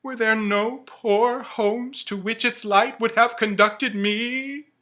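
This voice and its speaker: A man voicing an anguished, remorseful ghost of a dead businessman, declaiming slowly and mournfully in a storybook reading. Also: extremely high pitch, steady tone, pitched above the performer's own voice, full character voice. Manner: slow, loud, mournful declaiming.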